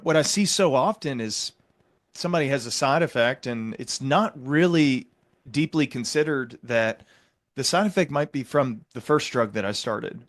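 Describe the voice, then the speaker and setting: A man's voice: medium pitch, normal speed, dry recording. A man hosting an interview, explaining and asking questions conversationally. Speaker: interview host and medication prescriber.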